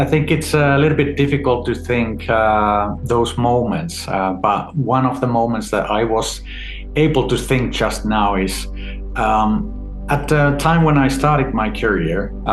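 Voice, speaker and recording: A middle-aged man from Finland, an executive guest, answering in a measured way with frequent fillers. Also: on the low side. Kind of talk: interview answers. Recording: echoey room, faint music.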